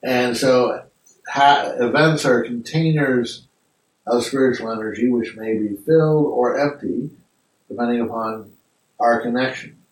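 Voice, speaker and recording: A man's voice: mid-range voice, a little slow. Reflective man lecturing in an echoey room. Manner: reflective, thinking aloud with restarts. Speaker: discussion group leader. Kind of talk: philosophy class discussion. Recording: echoey room, mic far off.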